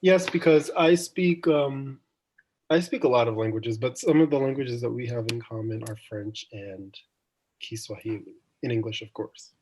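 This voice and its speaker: A man's voice: mid-range voice, moderate pace, darkish tone, a little hiss or hum, low-quality recording. A young man from Jamaica, hosting a casual online student discussion, thoughtful and meandering with frequent ums and restarts. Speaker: student event host.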